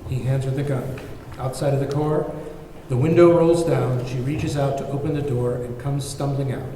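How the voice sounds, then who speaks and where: A very roomy, reverberant recording of a man narrating a screenplay's stage directions. His voice is mid-pitched and sits away from the mic, with faint noise. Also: steady tone, normal speed, warm tone. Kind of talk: scripted narration at a table read. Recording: very echoey, distant, faint noise.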